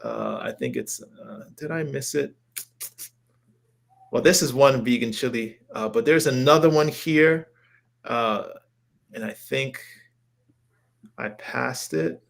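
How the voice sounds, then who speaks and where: An enthusiastic man with a mid-pitched voice hosts a casual livestream as a health coach. He rambles as he browses, often repeating his phrases in an informal, chatty way. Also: sing-song, slow pace, creaky, long pauses. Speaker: health coach hosting a live show. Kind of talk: casual livestream chat while browsing recipes.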